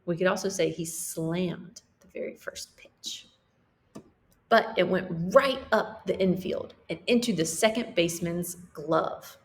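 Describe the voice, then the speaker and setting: Slow-paced woman teacher reading aloud. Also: on the low side. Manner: explains simply, checking in with listeners. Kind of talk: storybook read-aloud with simple explanations for children.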